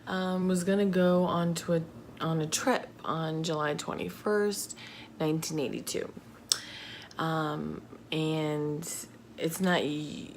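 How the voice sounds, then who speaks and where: A woman telling true crime stories casually, in a halting, informal, chatty manner. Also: low-pitched. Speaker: host of a true crime video channel. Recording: faint background noise.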